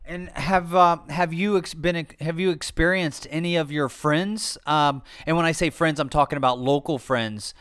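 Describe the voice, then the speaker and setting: A man hosting a podcast, asking questions in an enthusiastic, halting way. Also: a little high, fairly even intonation, a bit bright, smooth, no room sound. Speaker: podcast host. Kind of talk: interviewing a guest.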